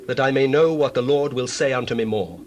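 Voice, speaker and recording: A man's voice: mid-range voice, a little fast, lo-fi sound, slight background noise, close to an everyday voice. A man playing an intense biblical prophet, declaiming theatrically in a dramatized scripture reading. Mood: solemn.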